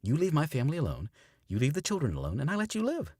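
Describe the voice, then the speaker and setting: A man voicing a tough woman survivor who stays eerily calm before turning menacing. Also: mid-range voice, moderate pace, slightly rough, dry recording, clean studio sound, pitched above the performer's own voice. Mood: threatening.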